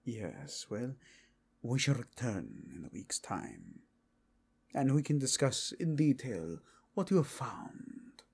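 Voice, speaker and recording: A man's voice: mid-range voice, huge pitch swings, slow pace, vocal fry, a little mellow, husky, full character voice. A man playing a stern, pious inquisitor, speaking formally and solemnly in an audio roleplay. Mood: stern, reserved.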